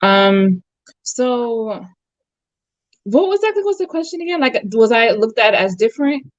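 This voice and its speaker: A woman's voice: a little high, big pitch swings, unhurried, dry recording, muffled recording. A young adult woman from the U.S. talking casually as a guest on a video call, thoughtful and full of fillers. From United States. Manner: reflective, many fillers and restarts. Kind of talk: casual conversation.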